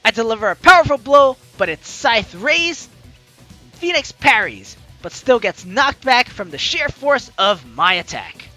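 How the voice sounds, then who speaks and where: A woman hosting a let's play, chatty and excitable, reading game narration aloud with drama and commenting as she goes. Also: a little high, a little slow. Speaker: gaming video host. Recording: game music behind the voice. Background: game music.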